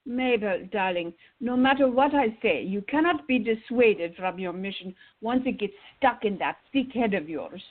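Radio play role: loyal but bossy older woman voiced by an actress, husky, very animated, forever exasperated. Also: mid-range voice, moderate pace, few pauses, narrow, tinny audio, close to an everyday voice. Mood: scolding. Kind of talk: radio theater acting.

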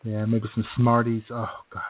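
A man's voice: medium pitch, completely flat delivery, normal speed, narrow, tinny audio. A man co-hosting a radio show in casual comedic banter, with a dry, joking manner.

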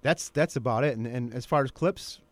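Informal middle-aged man, halting radio guest, dry sound. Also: mid-range voice, no room sound. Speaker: interview guest, documentary maker. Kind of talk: casual radio chat.

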